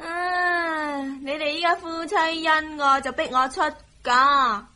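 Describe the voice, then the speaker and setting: An actress playing a sassy, teasing younger sister from a wealthy family in a Hong Kong Cantonese radio drama. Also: female voice, sits high, fairly even intonation, slow, bright, forceful tone, heavily acted. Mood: cheeky, grumbling. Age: young adult. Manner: teasing, exaggerated.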